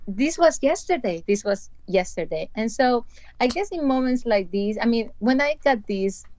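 A woman's voice: fairly high pitch, lively intonation, moderate pace, a little mellow, lo-fi sound. A woman with a Colombian Spanish accent, a podcast host chatting casually and retelling a story with many restarts and hesitations. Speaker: podcast host, dental practice owner. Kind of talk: casual chat.

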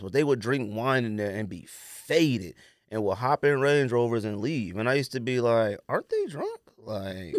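A man's voice: medium pitch, no room sound, studio quality. An American man hosting a podcast, chatting casually and jokingly in slangy speech about sports and sneakers. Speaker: podcast host.

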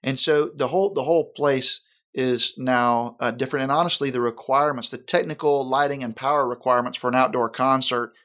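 A man speaks as a pastor in a conversation, in a mid-range voice. He talks in an earnest, deliberate way and often slows down to stress a point. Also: medium pitch, steady tone, fairly bright tone. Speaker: senior pastor. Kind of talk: conversational interview.

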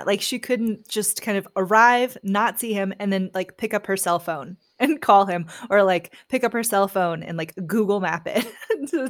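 A woman guest on a podcast, casually discussing a book and retelling scenes in an animated way. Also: medium pitch.

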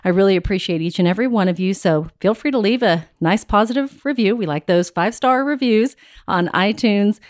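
A woman's voice: mid-range voice, fairly quick, dry recording, studio quality. A woman hosting a podcast interview, upbeat and encouraging with her guest. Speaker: podcast host. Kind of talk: friendly interview chat.

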